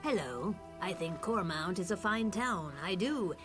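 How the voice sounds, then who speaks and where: Game townswoman: lowish voice, measured, politely stubborn, music underneath. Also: animated. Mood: politely defensive. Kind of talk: video game character acting.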